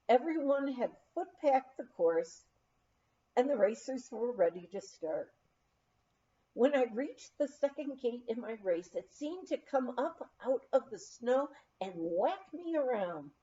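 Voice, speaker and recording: A woman reading a memoir aloud as an audiobook reader, in an animated, unhurried storytelling way. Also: a little high. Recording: dull, lo-fi recording.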